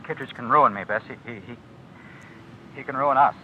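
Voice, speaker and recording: An actor in an old radio drama playing an anxious editor being blackmailed, halting, nervous and evasive. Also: male voice, medium pitch, lightly acted. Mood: agitated fear. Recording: dull, noisy old radio recording.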